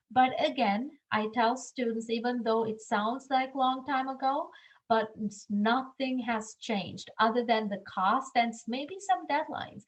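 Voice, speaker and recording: A woman's voice: a little high, rough recording. A middle-aged woman from Sri Lanka, a university admissions staff member, giving an earnest webinar presentation over a video call with frequent ums.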